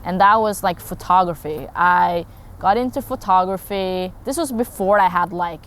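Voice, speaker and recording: A woman's voice: lowish voice, moderate pace, fairly bright tone. Chatty young Norwegian-raised woman vlogging. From Norway. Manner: rambling, many "like" fillers and restarts. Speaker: content creator. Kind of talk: casual talking-head vlog.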